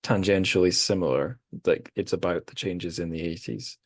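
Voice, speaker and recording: A man's voice: deep voice, steady tone, normal speed, no echo. A man hosting a casual group conversation on his podcast, asking questions and moderating. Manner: inquisitive, moderating the talk. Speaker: podcast host.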